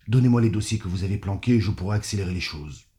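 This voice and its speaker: A man's voice: deep voice, quick pace, warm tone, natural voice. A man playing a smug, condescending, corrupt mayor in an audio drama, patronising and coolly menacing. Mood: patronising, pressing. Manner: patronising, cajoling. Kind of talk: French audio fiction acting.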